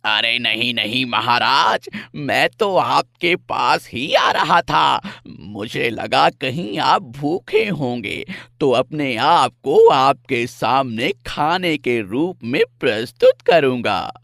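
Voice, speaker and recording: A man voicing a timid, flattering deer in an animal story, with a fawning, drawn-out, servile way of talking. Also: medium pitch, vocal fry, no room sound, clean studio sound, exaggerated voice. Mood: fawning, nervous. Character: timid flattering deer pleading with the lion king.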